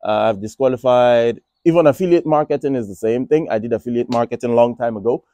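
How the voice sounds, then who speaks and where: A man's voice: mid-range voice, fast talker, dark tone, no echo. A man with a Nigerian accent, talking casually about his money and trading, rambling with frequent restarts. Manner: rambling, many restarts and fillers. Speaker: finance vlogger. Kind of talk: casual monologue. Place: Republic of Ireland.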